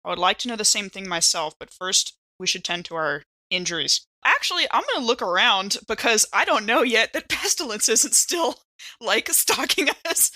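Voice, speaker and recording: A woman with a bright, forward voice plays a tabletop role-playing game. She talks casually, thinking aloud through her moves, and the sound is close and dry. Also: medium pitch, big pitch swings, normal speed, no echo.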